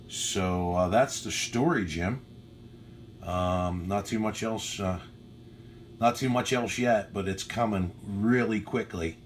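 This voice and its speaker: A man with a low voice talks casually as a ham radio operator at home. He is chatty, unhurried and modest about himself, with a faint hum behind him. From United States. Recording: faint hum and background noise.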